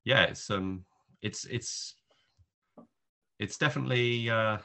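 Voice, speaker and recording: A man's voice: fairly low pitch, takes it slowly, gravelly, pushed and bright. A man reviewing films on a podcast in casual talk, thinking aloud in rambling, hesitant clauses. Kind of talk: casual spoken film review.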